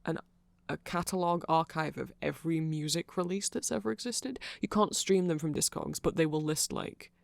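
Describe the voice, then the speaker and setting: Rambling man with a very creaky, gravelly voice. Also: on the high side, normal speed, few pauses, dry recording. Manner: rambling, nerdy, self-correcting, checks in with "right?". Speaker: ASMR creator. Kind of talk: rambling ASMR explainer.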